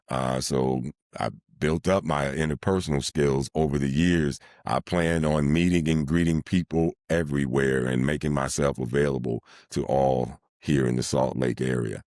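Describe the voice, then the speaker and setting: A middle-aged man, a sports announcer, speaking in a deliberate, formal and wordy way in an interview. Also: extremely low pitch, steady tone, darkish tone, dry recording, studio quality. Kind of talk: measured, formal interview talk.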